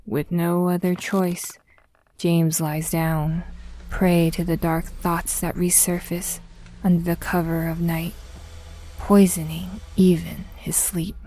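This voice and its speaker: A woman reading scripted dramatic narration in a measured, brooding, literary manner. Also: lowish voice, level tone, mellow, slight background noise, low-quality recording.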